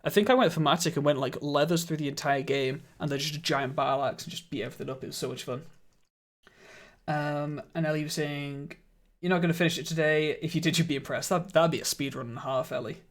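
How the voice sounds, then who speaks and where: A man speaking into a studio microphone as he streams a game, his voice sitting a touch higher than most men's. He chats casually with viewers, thanks them warmly and laughs easily, and his voice sounds slightly croaky. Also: quick pace. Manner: chatty, laughs often, greets and thanks viewers.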